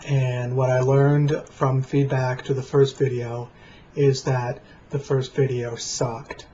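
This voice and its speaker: Flat, deadpan American man, dull sound. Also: medium pitch, slow pace. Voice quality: flat, plain voice. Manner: deadpan, dry. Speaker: vlogger. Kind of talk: casual rambling talk to camera. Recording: dull lo-fi webcam sound, faint noise.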